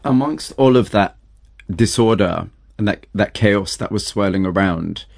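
A man's voice: lowish voice, expressive, a little slow, gravelly, no echo, studio quality. A man hosting an interview, moving between scripted storytelling narration and questions to his guests.

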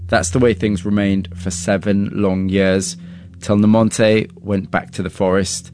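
A man's voice: on the low side, flat delivery, a little slow, darkish tone, slight background noise. A man hosting an interview, moving between scripted storytelling narration and questions to his guests.

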